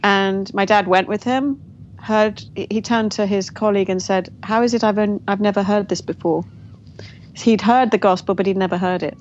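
A woman's voice: mid-range voice, steady tone, moderate pace. Woman telling her story, lo-fi. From England. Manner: warm, reflective storytelling with frequent restarts and light laughs. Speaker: guest author. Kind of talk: interview conversation. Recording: dull lo-fi audio with faint noise.

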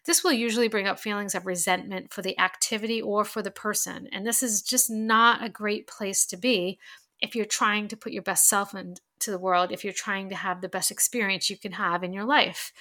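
A woman talks through self-help advice in a loose, chatty way, with lots of fillers and restarts. Her voice is bright and forward but stuffed-up and nasal from allergies. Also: medium pitch. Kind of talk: casual advice talk to camera.

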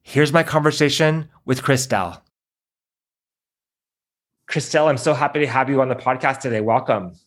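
A man hosting a podcast, speaking in a calm, measured and supportive way as he introduces his guest. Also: mid-range voice. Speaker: podcast host. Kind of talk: podcast interview.

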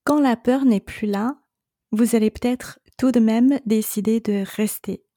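Slow, mellow-voiced woman coach. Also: a little high, no echo, clean studio sound. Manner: calm, reassuring, speaks directly to the listener. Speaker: separation coach and podcast host. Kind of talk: solo coaching podcast monologue.

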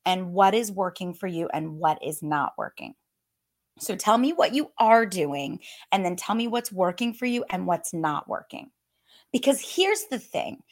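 A woman in her thirties with a slightly hoarse voice, giving a chatty, upbeat live coaching talk. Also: fairly low pitch, expressive, measured pace. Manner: upbeat, chatty, often laughing. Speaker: fitness business coach.

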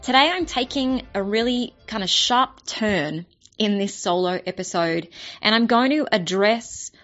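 A woman with an Australian accent, hoarse and raspy from a cold with a blocked nose, hosting a solo podcast episode in an earnest way, often repeating words as she finds her thought. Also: medium pitch, lively intonation, a little slow, a bit bright, muffled recording, soft background music. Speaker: podcast host and spiritual business coach.

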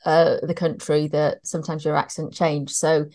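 A woman from North Wales with a Welsh accent, hosting a podcast and chatting in a warm, effusive way. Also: sits low, level tone, moderate pace. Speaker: podcast host.

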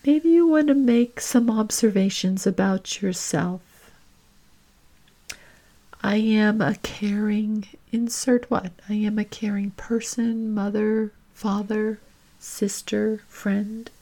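A woman leading a guided reflection in the manner of a podcast host, gentle and deliberate, with long reflective pauses. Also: medium pitch, fairly even intonation.

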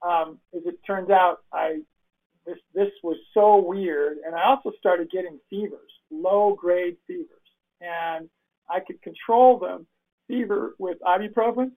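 An older man hosts a relaxed interview, his voice gravelly and a little husky. He rambles, restarting his sentences as he goes. The sound is thin and boxy, like a phone call. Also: sits high, measured pace. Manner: rambling, many restarts and fillers. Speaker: interview host. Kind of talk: casual interview chat. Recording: phone-like remote call audio.

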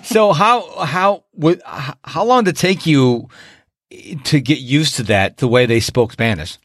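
Playful high-voiced male podcast host. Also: animated, unhurried, a bit bright, no room sound, clean studio sound. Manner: laughs often, playful teasing. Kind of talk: casual interview chat.

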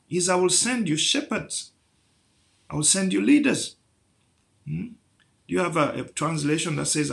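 A man's voice: a little high, gravelly, fairly bright tone. A man preaching a sermon, building through rhetorical questions to emphatic peaks.

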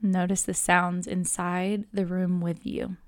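Slow, low-voiced woman guiding meditation. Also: dry recording. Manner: calm, measured, soothing instruction with long pauses. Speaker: yoga nidra guide. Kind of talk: guided meditation narration.

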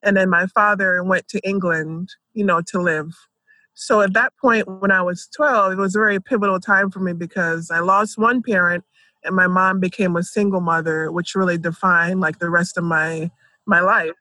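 A woman's voice: lowish voice, steady tone, normal speed, pushed and bright, no room sound. A woman, telling her life story conversationally and peppering it with "like" and "you know". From Jamaica and Philadelphia, United States. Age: 30s.

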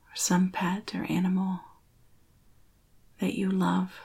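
A woman guides a spiritual meditation in a breathy near-whisper. Her voice is husky with a frequent soft creak, and her intonation stays very even. She speaks soothingly, leaving long pauses between short phrases. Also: fairly low pitch.

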